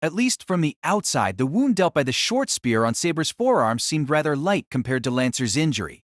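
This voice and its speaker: A man narrating a fantasy audio drama in a steady, even storytelling manner. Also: fairly high pitch, moderate pace, dry recording, clean studio sound.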